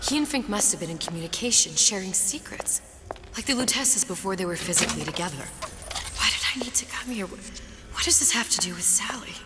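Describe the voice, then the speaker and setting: A woman's voice: medium pitch, expressive, brisk, very noisy, close to an everyday voice. An actress playing a clever, troubled young woman who thinks aloud with dry sarcasm in a video game. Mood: puzzled, realizing. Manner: musing, dryly sarcastic. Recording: loud music behind the voice.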